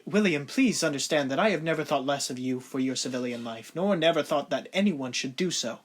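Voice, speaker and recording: A man's voice: mid-range voice, lively intonation, fairly quick, close to an everyday voice. A man playing a courteous, loyal lieutenant in an audio drama, with polite, formal period speech. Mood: sympathetic.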